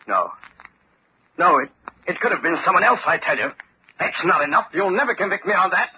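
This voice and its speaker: An actor playing a haughty, blustering gentleman under suspicion in an old radio drama, pompous and theatrical. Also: high-pitched, sing-song, brisk, slightly rough, heavily acted. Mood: panicked. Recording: dull, faintly noisy vintage radio recording.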